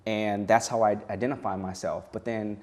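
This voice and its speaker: Low-voiced thoughtful man in his thirties. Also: fairly even intonation, a little mellow. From United States. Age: 30s. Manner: thoughtful, measured, personal. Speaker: guest poet and educational consultant. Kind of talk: reflective interview answers. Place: television studio.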